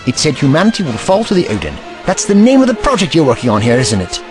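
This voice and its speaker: An actor playing an urgent, protective alien doctor and investigator in an audio drama, articulate and authoritative. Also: male voice, on the high side, wildly swooping pitch, quick pace, over music. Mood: commanding.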